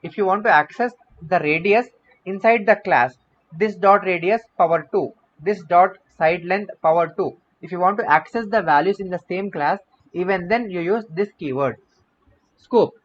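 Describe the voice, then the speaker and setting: A man's voice: high-pitched, normal speed. A young man, teaching an online coding class and often repeating and rephrasing his points. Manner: explanatory, repeats and rephrases himself. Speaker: coding bootcamp instructor. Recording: slightly dull audio with faint noise.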